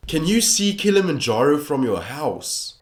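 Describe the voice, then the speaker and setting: A man's voice: on the high side, sing-song, measured pace, soft background music. A teenage boy from South Africa with a mixed English and American accent, joking sarcastically and swearing casually as a vlogger, recorded on a phone taped to his monitor. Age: teens. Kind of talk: casual commentary. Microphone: phone taped to a computer monitor.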